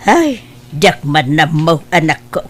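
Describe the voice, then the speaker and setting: A woman's voice: low-pitched, very animated, takes it slowly, slight background noise, full character voice. Reproachful, scolding mother played by a woman. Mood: troubled. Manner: reproachful, questioning. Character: disapproving, scolding mother of a grown son. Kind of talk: Ilocano radio-style family drama acting.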